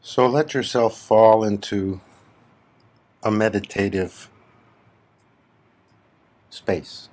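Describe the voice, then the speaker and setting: A man with a deep voice guides a meditation as a spiritual teacher. He speaks very slowly and deliberately. His short phrases are set apart by long pauses. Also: darkish tone. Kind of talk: slow guided meditation talk.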